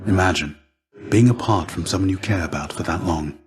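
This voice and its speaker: An actor playing the ghost of a fallen Greek warrior in a video game, a gentle, fatherly mentor with measured, formal, old-fashioned phrasing. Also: sits low, close to an everyday voice. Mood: thoughtful. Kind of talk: video game character dialogue.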